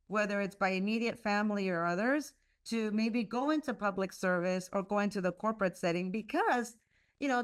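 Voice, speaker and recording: A woman who grew up speaking Spanish, answering interview questions earnestly over a video call, with many fillers and restarts. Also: medium pitch. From United States. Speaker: leader of a leadership institute, guest.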